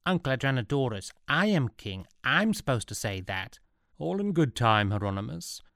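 A man's voice: mid-range voice, big pitch swings, moderate pace, gravelly, fairly bright tone, dry recording, studio quality, voice pushed up. A man voicing a scheming, pompous male royal advisor who is sarcastic and impatient. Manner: sarcastic, bossy.

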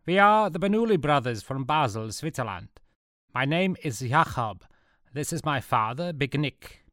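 A man's voice: lowish voice, animated, moderate pace, dry recording, clean studio sound. A man voicing a proud, middle-aged Swiss merchant, a slick hustler in an audiobook story. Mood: formal, proud.

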